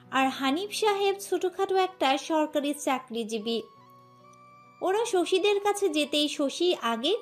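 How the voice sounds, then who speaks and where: High-pitched woman narrating a story. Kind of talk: scripted audio story narration. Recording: music under the voice. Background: background music.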